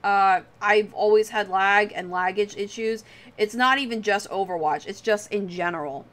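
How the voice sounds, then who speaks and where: A woman with a very bright, forward voice hosts a casual livestream. She rambles in an off-the-cuff, self-deprecating way and swears freely as she chats. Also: mid-range voice, moderate pace. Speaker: livestream host. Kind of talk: casual livestream chat while drawing.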